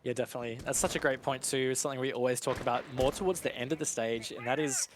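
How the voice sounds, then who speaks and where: A man with an average-pitched voice provides live esports analysis. He talks conversationally and explains as he goes, in the role of analyst beside a caster. Faint gunfire and clicks from a game are audible behind him. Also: fairly even intonation, quick pace, background noise.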